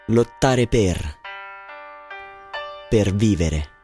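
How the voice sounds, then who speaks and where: An actor playing a bitter, defiant young student in a poetic radio theatre monologue, delivered in halting, declamatory phrases. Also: male voice, lowish voice, lively intonation. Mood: lyrical, wistful. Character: anguished young student, bitter and defiant about precarious work. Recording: music under the voice. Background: music.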